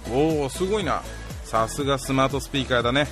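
An actor playing a bossy middle-aged lab chief with an authoritative yet casual manner in a radio drama. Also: male voice, medium pitch, fast talker, lightly acted. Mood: pleased. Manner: authoritative, casual superior's speech. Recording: music behind the voice.

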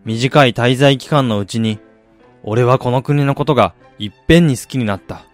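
A man acting in a radio drama as a driven businessman and husband, telling his life story in a measured, reflective way. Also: medium pitch, natural voice. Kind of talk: radio drama monologue, literary narration. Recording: music bed behind the voice.

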